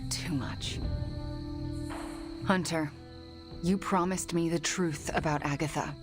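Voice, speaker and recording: An actress playing a wounded, searching heroine in a video game cutscene. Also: female voice, deep voice, fairly even intonation, takes it slowly. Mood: insistent. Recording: prominent music behind the voice.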